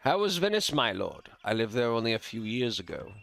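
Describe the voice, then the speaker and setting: A man's voice: mid-range voice, sing-song, fairly quick, vocal fry, fairly bright tone, slight background noise. Man voicing a courteous, deferential village priest. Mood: curious. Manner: courteous, polite.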